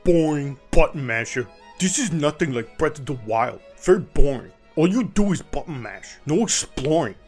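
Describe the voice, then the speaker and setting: A man's voice: fairly high pitch, lively intonation, moderate pace, darkish tone. A man performs a dramatic reading of scathing game reviews. His delivery is theatrical and emphatic, playing up the displeasure. Music runs underneath his voice. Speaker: narrator. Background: background music.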